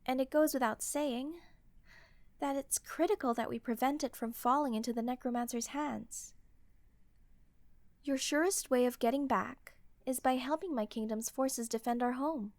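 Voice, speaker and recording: A woman's voice: high-pitched, normal speed, darkish tone, dry recording. A woman playing a proud, earnest young queen in a fantasy audio roleplay, speaking formally and a little defensively.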